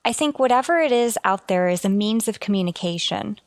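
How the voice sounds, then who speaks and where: A woman's voice: mid-range voice, expressive, brisk, dry recording, studio quality, close to an everyday voice. A woman playing an eager, brave and deferential spaceship officer in a sci-fi radio drama, reporting in a clipped, respectful military way. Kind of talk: golden age sci-fi radio drama acting.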